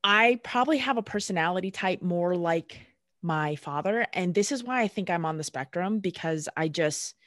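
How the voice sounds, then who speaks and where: A woman's voice: on the low side, lively intonation, normal speed, fairly bright tone, dry recording, studio quality. A woman guest speaking candidly in casual conversation, blunt and emphatic, swearing freely. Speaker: podcast guest.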